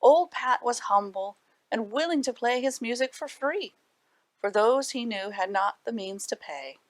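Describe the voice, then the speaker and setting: A woman reading aloud to children as a library storyteller, animated and dramatic with deliberate phrasing. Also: fairly high pitch, no room sound.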